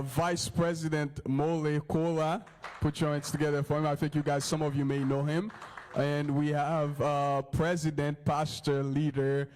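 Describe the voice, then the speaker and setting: A man's voice: a little high, a little mellow, slight background noise. A man from Haiti, a youth leader, casually hosting a church Q&A panel with lots of fillers and laughs.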